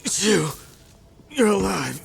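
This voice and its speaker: An actor playing a battered, desperate soldier in a video game, gravelly, weak, halting and strained. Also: male voice, high voice, very animated, over music, exaggerated voice. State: weak, exhausted. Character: battered male soldier rescued from torture, desperate.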